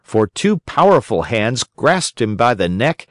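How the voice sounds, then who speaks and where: A man narrating a children's story as an audiobook reader, in a gentle, expressive way. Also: mid-range voice, a little slow, no echo, studio quality.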